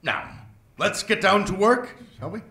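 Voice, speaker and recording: An actor playing a sinister, scheming older male mad scientist who talks in a slow, sly drawl with purring pauses. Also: sits high, expressive, sharp tone, heavily acted. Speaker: research scientist. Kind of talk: amateur radio-play acting. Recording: roomy echo, faint hiss.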